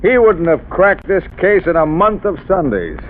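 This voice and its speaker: Smug gruff police commissioner, slightly high voice, tinny old radio. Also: male voice, very animated, normal speed, slight background noise. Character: gruff, self-assured male police commissioner. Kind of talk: 1930s radio drama acting. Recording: tinny 1930s radio broadcast.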